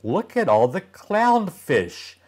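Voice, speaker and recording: A man's voice: high-pitched, sing-song, slow pace, a little mellow, slightly rough, pitched above the performer's own voice, exaggerated voice. An older man voicing an exasperated, chiding child from a children's storybook. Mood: excited. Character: exasperated child scolding a grumbling companion.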